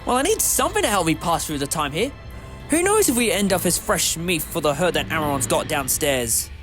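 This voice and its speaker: Eccentric alien adventurer male actor: highly animated, quick-witted, cheeky but principled, audio drama over a music bed. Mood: chatty, explaining.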